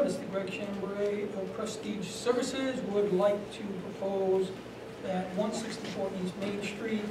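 Brisk man chairing a board meeting. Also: high voice, distant mic. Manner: brisk, procedural, lightly joking. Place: municipal meeting room. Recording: echoey room from afar, noisy.

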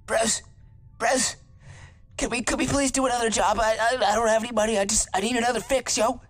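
A man puts on a broke raccoon begging for work, whiny and stammering. He jumps his voice well above his normal talking range and gabbles the pleas out quickly. The whining never lets up. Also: very high voice, steady tone, fairly bright tone, voice pushed up. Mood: pleading.

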